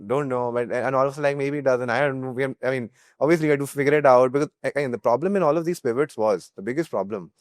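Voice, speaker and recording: A man's voice: medium pitch, fast, few pauses, no echo, clean studio sound. A man with an Indian accent, a startup founder telling a story casually in an interview, repeating phrases and checking in with "right?".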